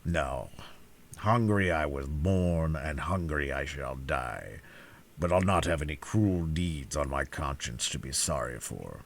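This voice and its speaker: A man doing the deep-voiced Hungry Tiger. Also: animated, a little slow, slight background noise, heavily acted. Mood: rueful. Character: huge hungry male tiger, gentle and conscientious.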